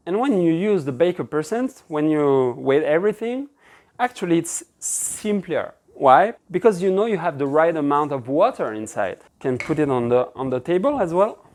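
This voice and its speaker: Higher-pitched Frenchman teaching casually. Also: big pitch swings, moderate pace, a little mellow. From France. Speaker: pizza-making instructor. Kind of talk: casual cooking demonstration. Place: indoor kitchen with stone counter.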